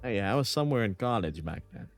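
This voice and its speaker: A man's voice: on the low side, animated, quick pace, faint music behind. A man in his thirties streaming from home, chatting casually and reading chat messages aloud with frequent laughs.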